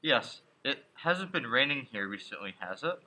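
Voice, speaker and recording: An actor playing a haunted man in his thirties from Brooklyn, narrating a radio play in earnest, deliberate phrases. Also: medium pitch, very animated, pushed and bright, heavily acted. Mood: probing. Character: haunted 36-year-old man from Brooklyn, anxious but insisting he is sane. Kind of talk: radio play acting, first-person dramatic narration. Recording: music behind the voice.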